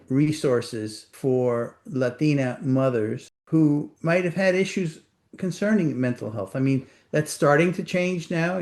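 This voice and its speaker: An older author with a Puerto Rican accent, answering interview questions in a thoughtful, measured way. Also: male voice, mid-range voice, darkish tone. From Puerto Rico. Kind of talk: reflective conversation.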